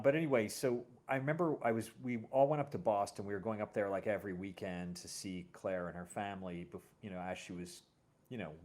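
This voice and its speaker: A middle-aged man with a fairly low voice talks casually as a host. He tells personal stories reflectively, feeling his way through them in a halting, conversational style. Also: a little mellow.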